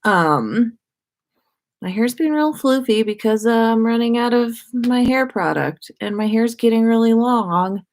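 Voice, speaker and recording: A woman's voice: fairly high pitch, animated, a little slow, darkish tone. A woman hosting a casual livestream, chatting informally and greeting her listeners. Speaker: livestream host and podcaster.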